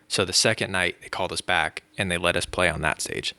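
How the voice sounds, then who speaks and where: A man with a very deep voice and a rough, fry-laden edge tells his stories in a relaxed, meandering way. He leans on fillers as he goes. The sound is dry and close on a studio microphone. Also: a little fast, no echo. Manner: relaxed, rambling with many fillers. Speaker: musician guest. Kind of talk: casual podcast chat. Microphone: studio mic close.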